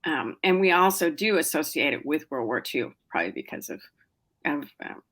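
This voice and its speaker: A middle-aged woman speaks with a low, easy voice as she answers an interviewer's questions about her writing. She talks casually and chattily, with frequent ums and restarts. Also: very animated, normal speed, a bit bright. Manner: chatty, many fillers and restarts. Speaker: novelist guest.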